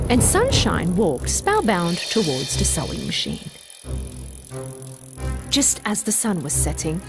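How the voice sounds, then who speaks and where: A woman narrating a children's fairy tale from a script in an expressive, deliberate, dramatic storytelling manner. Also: fairly high pitch.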